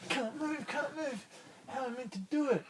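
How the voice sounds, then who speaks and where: Adult doing a high squeaky starfish, eager boy, pleading, storybook voice. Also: moderate pace, far from the mic, noisy background, close to an everyday voice. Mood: frustrated. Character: small eager male starfish.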